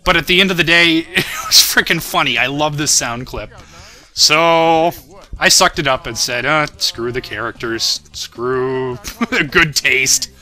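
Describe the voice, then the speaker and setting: A young man with a fairly high, very bright and forceful voice talks through his own video. He rambles casually as a commentator, poking fun at his own work. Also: unhurried. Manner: self-deprecating, rambling, chuckles often. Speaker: commentator, creator of an abridged anime series.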